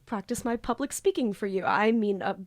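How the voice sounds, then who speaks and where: A woman's voice: mid-range voice, a little fast, echoey room, natural voice. A woman playing a flustered, lovestruck, bookish student in an audio drama, with grandiose theatrical diction. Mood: flustered, nervous.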